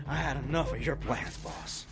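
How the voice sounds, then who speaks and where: Brief: actor as a greedy low-life kidnapper, coarse and threatening, with music under him. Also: male voice, very animated, normal speed. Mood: menacing. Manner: rough rural slang, menacing. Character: crude greedy male kidnapper thug. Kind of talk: amateur audio drama acting.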